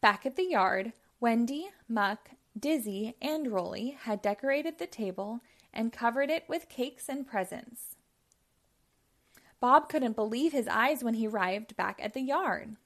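A woman reading a story aloud for young children in a deliberate storytelling style. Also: a little high, expressive.

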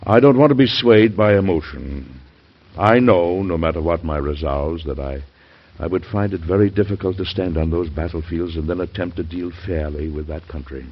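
An actor playing an earnest, stubborn, idealistic American president in formal, oratorical old-time radio drama style. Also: male voice, deep voice, normal speed, slightly rough. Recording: muffled old radio broadcast recording.

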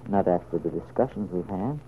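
A man's voice: low voice, lively intonation, slight background noise. Hesitant fatherly man on muffled vintage radio. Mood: hesitant, gentle. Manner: halting, hesitant, earnest. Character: anxious, tender middle-aged father hiding his secret. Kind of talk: old-time radio serial acting.

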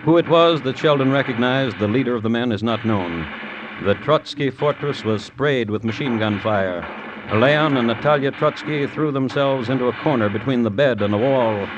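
A man's voice: medium pitch, moderate pace, lo-fi sound, background noise. A man giving grave, suspenseful scripted narration for an old-time radio drama.

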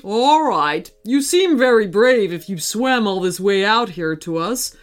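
A woman voicing a hungry male shark in a storybook reading, blunt and matter-of-fact in an unhurried, slightly distant way. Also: mid-range voice, expressive, faint music behind, close to an everyday voice. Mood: calm.

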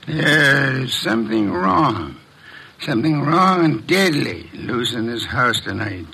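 A man's voice: mid-range voice, big pitch swings, slow, gravelly, bright, forceful tone, breathy edge, exaggerated voice. An actor playing a commanding, methodical male detective in a classic radio drama, with formal, precise, pedantic wording. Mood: grave.

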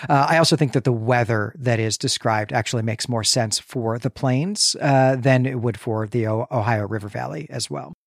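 An American man from the Chicago area co-hosting a podcast, explaining a book in a measured, academic way. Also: mid-range voice, creaky, no echo, clean studio sound. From northern Illinois, Chicago area, United States. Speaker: podcast co-host. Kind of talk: casual literary discussion.

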